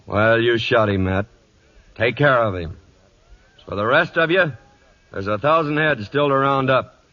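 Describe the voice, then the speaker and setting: An actor playing a hard, commanding cattle boss with an American accent and a clipped, stern manner in a 1940s radio western. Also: male voice, mid-range voice, unhurried, lightly acted. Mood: hard resolve. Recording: dull old 1940s radio broadcast.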